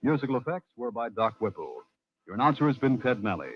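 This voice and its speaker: A man's voice: mid-range voice, sing-song, quick pace, husky. A man announcing a radio program in a formal, dramatic 1940s broadcast style. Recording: muffled old radio broadcast recording.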